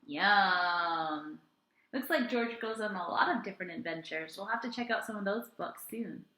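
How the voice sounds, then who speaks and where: A woman teacher reading a story aloud and chatting to young children in an expressive way. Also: medium pitch, normal speed. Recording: roomy indoor echo.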